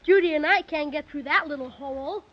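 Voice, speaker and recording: A performer playing a plucky, excitable young boy in a 1930s children's radio serial, earnest and exclamatory. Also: high voice, a little fast, lightly acted. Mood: eager, puzzled. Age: child character. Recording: dull vintage 1930s radio recording.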